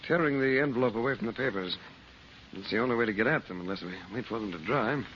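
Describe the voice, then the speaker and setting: An actor playing a shrewd, composed uncle, a magician adventurer, in an old-time radio serial with grave, measured delivery. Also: male voice, medium pitch, fairly bright tone, lightly acted. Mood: matter-of-fact. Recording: muffled, faintly noisy old radio recording.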